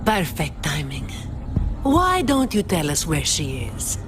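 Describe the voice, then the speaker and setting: A woman playing a cool, protective fighter in a video game, speaking in a measured, assertive way. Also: deep voice, huge pitch swings, full character voice. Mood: cool, demanding. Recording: game music under the voice.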